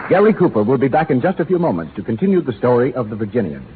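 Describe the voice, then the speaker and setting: A man's voice: medium pitch, very animated, rapid-fire, very noisy. A male radio announcer reading a polished, persuasive 1930s commercial, tinny old broadcast sound.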